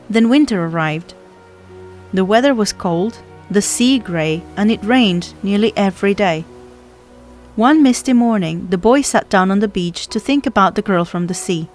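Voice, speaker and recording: A woman's voice: mid-range voice, a little mellow. A woman reading a children's story aloud as scripted narration, as an audiobook narrator does. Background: music.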